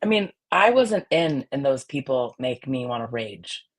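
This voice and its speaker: Brief: woman guest, low and raspy, blunt, projects strongly. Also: very animated, a little slow. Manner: blunt, fiery, projects from the diaphragm. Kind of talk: casual chat.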